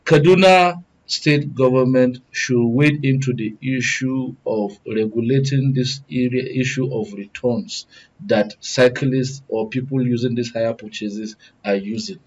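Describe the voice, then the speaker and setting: A man's voice: medium pitch, measured pace, husky, lo-fi sound. A man with a Nigerian accent giving formal, wordy panel commentary, arguing point by point as a studio guest. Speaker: studio guest, good governance advocate.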